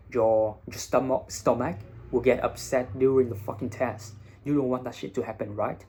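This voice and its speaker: Young male vlogger, blunt, casual profanity, mid pitch. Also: a little mellow, breathy edge. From Vietnam. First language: Vietnamese. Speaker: IELTS teacher and vlogger. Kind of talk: casual advice monologue.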